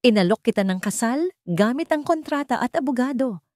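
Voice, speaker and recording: A woman's voice: a little high, lively intonation, brisk, darkish tone, no room sound, studio quality, pitched above the performer's own voice, close to an everyday voice. A woman voicing an earnest, devoted young father speaking in a heartfelt, pleading way in an audio love story. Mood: earnest, tender.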